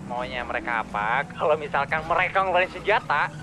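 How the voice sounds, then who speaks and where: A man's voice: fairly high pitch, lively intonation, fairly quick. A man giving casual instructions to fellow gamers. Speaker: gamer giving group orders. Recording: very noisy game voice chat.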